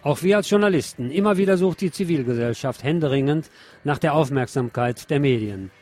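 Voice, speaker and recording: A man's voice: a little high, expressive, brisk, a little mellow, faint music behind. Male radio presenter reading news.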